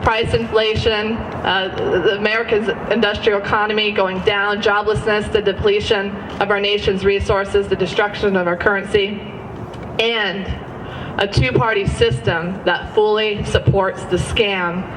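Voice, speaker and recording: A woman in her thirties gives an earnest rally speech, her words tripping into fillers and quick self-corrections. She sounds set back from the microphone. The crowd is clearly audible around her. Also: mid-range voice, flat delivery. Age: 30s. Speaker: guest speaker at a rally. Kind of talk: public speech. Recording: distant mic with audience noise.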